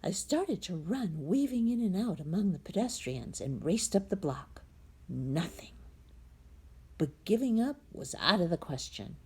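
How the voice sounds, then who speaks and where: A woman reads a children's storybook aloud with very lively, swooping intonation. Her voice is husky and soft-edged, and she takes her time, leaning into suspense with hushed, breathy drops. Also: lowish voice, a little slow. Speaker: storybook reader.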